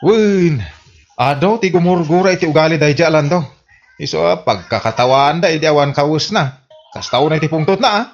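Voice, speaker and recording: Man playing a higher-voiced mischievous village accomplice. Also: brisk, highs cut off, natural voice. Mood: smug, gossipy. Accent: Filipino, Ilocano. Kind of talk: comedy radio drama acting.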